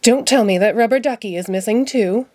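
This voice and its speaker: A woman voicing a pompous, excitable male puppet detective from a children's storybook, with grandiose announcements. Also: a little high, fairly quick, a little hiss or hum, lightly acted. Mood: astonished.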